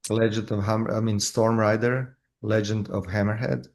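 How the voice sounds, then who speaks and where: Halting low-voiced man from Croatia. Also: flat delivery. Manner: halting, with frequent restarts and fillers. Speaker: filmmaker guest. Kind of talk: casual interview.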